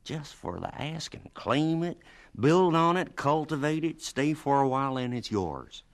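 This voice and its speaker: An actor playing a gruff but kindly farmer and boss in a radio drama, blunt, folksy and emphatic. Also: male voice, fairly high pitch, animated, measured pace. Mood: earnest, confiding.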